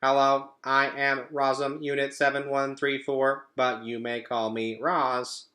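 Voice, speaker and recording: Man voicing a calm storybook robot mother. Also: medium pitch, a little slow, roomy sound, voice pushed up.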